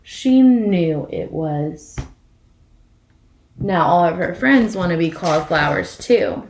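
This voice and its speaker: A woman's voice: on the low side, lively intonation, slow pace, darkish tone, slight background noise. A woman reading a children's picture book aloud expressively as a storyteller, putting questions to her listeners. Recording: echoey room.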